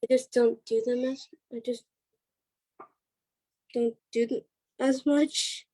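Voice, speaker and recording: A woman's voice: a little high, fairly even intonation, takes it slowly, mellow, no echo. A woman being interviewed as a performer, chatting casually with brief, hesitant answers. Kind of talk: casual chat.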